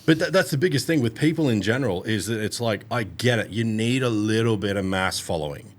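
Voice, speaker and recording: Man, low voice, blunt, casual podcast host. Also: animated, brisk. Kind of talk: casual conversation.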